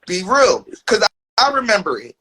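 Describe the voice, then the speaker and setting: Emphatic high-voiced man, early thirties. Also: big pitch swings, moderate pace, pushed and bright, husky. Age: 30s. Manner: emphatic, keeps tagging "bro" and "feel me". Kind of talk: casual chat.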